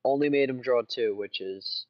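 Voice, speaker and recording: A dull, lo-fi but dry recording of a man co-commentating a card game. He talks casually at an ordinary pitch, in short remarks that he tends to repeat. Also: normal speed, dry recording.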